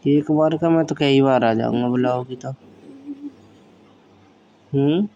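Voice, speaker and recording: A man's voice: medium pitch, slow, warm tone. A man chatting casually on the phone in a drowsy, laid-back manner. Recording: phone call with faint background noise.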